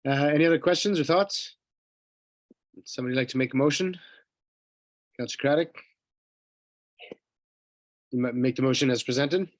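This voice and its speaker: A man's voice: mid-range voice, normal speed, long pauses, dry recording. A man chairs a council meeting from a dry, close-sounding spot. He is polite and hesitant, full of small apologies and restarts as he moves through the agenda. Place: council chamber.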